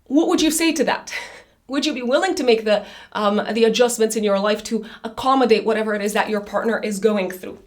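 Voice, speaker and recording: A woman reading a monthly horoscope in a chatty, loose way, her voice raspy after an illness. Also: on the high side, fairly quick. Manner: chatty, loose, with many fillers and restarts. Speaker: astrologer.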